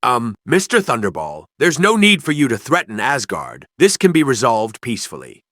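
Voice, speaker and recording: A timid, nervous man from a video game, performed by a male actor, hesitant and very polite. His mid-range voice is bright and recorded dry. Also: medium pitch, wildly swooping pitch, moderate pace, dry recording. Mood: nervous pleading. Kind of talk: video game character voice acting.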